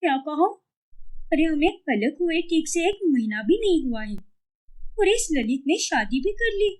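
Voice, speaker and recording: Woman voicing high-pitched dramatic young daughter. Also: sing-song, measured pace. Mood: indignant. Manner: whiny, dramatic. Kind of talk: cartoon moral story dialogue.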